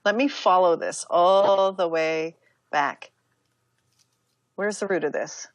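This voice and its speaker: An American woman speaking as an interview guest, talking reflectively in a halting, casual way with many asides. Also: on the low side, dry recording. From United States. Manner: reflective, halting, with fillers and self-quoting asides. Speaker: interview guest, yoga teacher and author.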